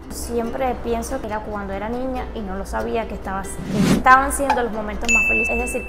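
A woman's voice: fairly high pitch, gravelly. A woman in her late twenties from Cuba with a Cuban Spanish accent, chatting to camera as she answers viewer questions one by one in a casual vlog. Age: 20s. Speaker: YouTuber. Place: indoors, large room with tables.

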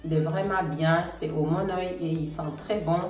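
A middle-aged woman with a cold and a slightly congested voice, chatting casually to camera about products with a relaxed, hesitant manner. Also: on the low side, moderate pace. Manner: relaxed, hesitant, many fillers. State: has a cold. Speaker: beauty vlogger. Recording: roomy, slightly distant, faint music.

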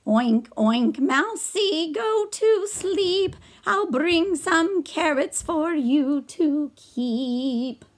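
A woman voicing a soothing storybook animal mother in a drawn-out, sung lullaby with animal calls. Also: high voice, expressive, pitched above the performer's own voice, full character voice. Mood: soothing, sing-song.

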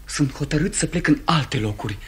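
An actor playing a lovestruck, impetuous young gentleman in an old radio play, ardent and declamatory. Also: a little high, expressive, slight background noise, lightly acted. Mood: aggrieved, resolute.

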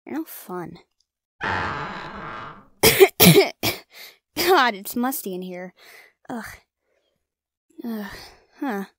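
A woman's voice: mid-range voice, expressive, takes it slowly, fairly bright tone, slightly rough, dry recording, studio quality, exaggerated voice. A woman acting a cocky young ghost-hunting vlogger in an audio drama, brash and teasing. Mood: nervous. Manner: brash, teasing, talks herself up.

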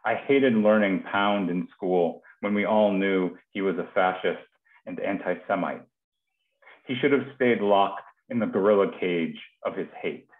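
Low-pitched American man, roomy call audio. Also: narrow, tinny audio. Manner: halting, thoughtful, repeats words. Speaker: poet and host of a reading series. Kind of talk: informal hosting and poetry reading. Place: small domestic kitchen, indoors. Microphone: headset on a video call.

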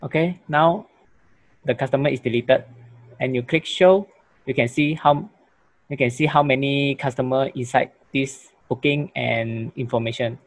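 A young man, a student presenter, giving a software demo with frequent restarts, fillers and broken phrases. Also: mid-range voice, normal speed. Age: young adult. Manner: stumbling, many restarts and fillers.

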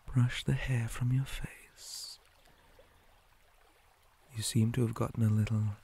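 A silkily cruel male spider creature, acted by a man in an ASMR roleplay. The voice is mellow and husky, often sinking to a whisper. It moves very slowly and deliberately, with formal, taunting menace and a possessive edge. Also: medium pitch. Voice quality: breathy, often dropping to a whisper. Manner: slow, deliberate, softly taunting, formal wording.

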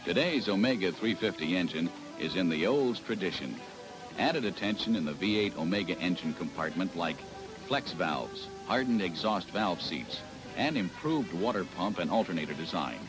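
A man's voice: low-pitched, sing-song, moderate pace. An American man narrating a scripted 1970s promotional sales film in a polished announcer's delivery. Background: music.

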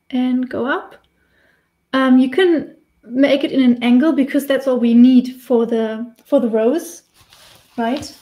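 German-accented woman in her thirties, warm and slightly high, relaxed chatty live-stream teacher. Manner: chatty, laughs often, restarts while painting. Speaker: face painting tutor. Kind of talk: casual live-stream tutorial. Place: small indoor room with decorated wall.

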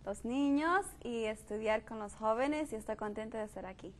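A young woman from El Salvador on a studio panel, speaking calmly and earnestly. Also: fairly high pitch, normal speed. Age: young adult. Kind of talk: casual discussion. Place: TV studio set.

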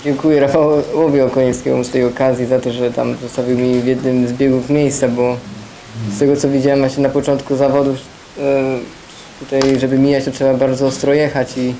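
Calm, flat young rider. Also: male voice, medium pitch, slight background noise, poor audio. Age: young adult. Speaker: speedway rider. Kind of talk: post-match press conference. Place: press room, indoors. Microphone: table microphones.

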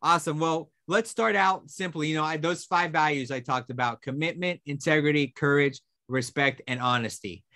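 A young man hosting a school program, introducing and interviewing a guest with an enthusiastic, energetic delivery. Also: fairly high pitch, normal speed, hardly any pauses. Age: young adult. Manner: enthusiastic, energetic, talking with his hands. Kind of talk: upbeat presenter introduction and interview. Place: small room with a brick wall and memorabilia.

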